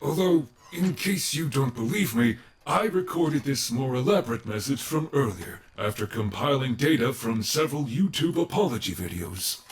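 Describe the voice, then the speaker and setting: A man's voice: mid-range voice, lively intonation, normal speed, few pauses, far from the mic, slight background noise, close to an everyday voice. A man playing an earnest, theatrical animatronic bear in an audio roleplay, grandiose, formal and wordy. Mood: apologetic.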